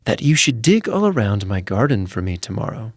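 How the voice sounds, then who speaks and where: A man's voice: fairly low pitch, very animated, a little fast, dry recording, clean studio sound. Man voicing a sly old storybook witch. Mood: taunting, bargaining.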